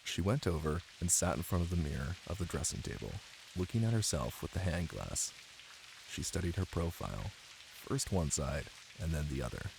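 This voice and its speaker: Man, low creaky voice, intimate ASMR reading, playful, rain and faint hiss behind. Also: lively intonation, normal speed. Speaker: ASMR voice actor playing a caring boyfriend. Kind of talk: cozy ASMR bedtime story reading and intimate chat. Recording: light hiss under rain ambience.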